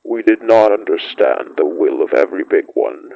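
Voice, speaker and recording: Man as an ancient gravelly wolf-beast, very deep. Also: expressive, muffled recording, a little hiss or hum. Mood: ominous, awed. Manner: solemn, measured, poetic. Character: ancient great wolf-like beast, solemn pack elder, recalling primeval winters. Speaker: storyteller. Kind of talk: scripted dramatic monologue, horror fiction podcast.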